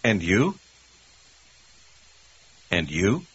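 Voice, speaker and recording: Very slow, bright-toned male model speaker. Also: low-pitched, big pitch swings, slightly rough. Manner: slow, deliberate, carefully enunciated. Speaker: model speaker in a language course. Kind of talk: slow model phrases for repetition. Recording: dull lo-fi course audio.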